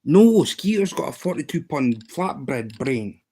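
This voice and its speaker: Slow-talking Scottish man in his fifties. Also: medium pitch, big pitch swings, mellow. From Scotland. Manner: rambling and playful, many ums and restarts. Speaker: livestream host. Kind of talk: casual live-stream chat.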